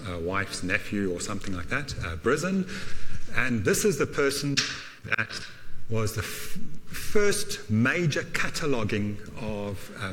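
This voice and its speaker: A man's voice: medium pitch, a bit bright. German-born man lecturing in a reverberant hall. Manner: halting, many uh fillers, self-deprecating asides. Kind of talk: university lecture.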